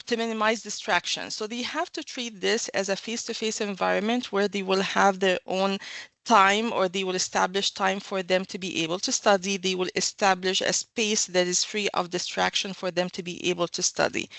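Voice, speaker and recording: Woman, gravelly and dry. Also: medium pitch, fairly bright tone, dry recording. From Egypt. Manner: measured, explanatory, with fillers and self-corrections. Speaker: university academic guest. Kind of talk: podcast interview answers.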